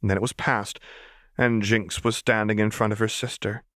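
Low-pitched man narrating fiction. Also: steady tone, moderate pace, fairly bright tone, no room sound, studio quality. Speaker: audiobook narrator. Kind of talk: scripted dramatic fiction narration.

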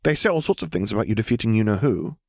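A man's voice: fairly low pitch, expressive, fast, a little mellow, no echo, clean studio sound. A man voicing a bossy, bookish young schoolgirl in an audiobook, in an emphatic, breathless, overbearing manner. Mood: excited. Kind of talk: audiobook character voice.

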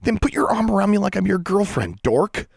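Man doing a high bossy sarcastic schoolgirl witch, teasing. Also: very animated, fairly quick, vocal fry, a little mellow, slightly rough, no room sound, studio quality, exaggerated voice.

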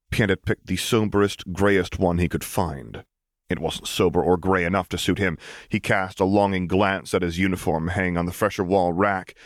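A man's voice: low-pitched, no room sound, studio quality. A man reading scripted fiction aloud as an audiobook narrator.

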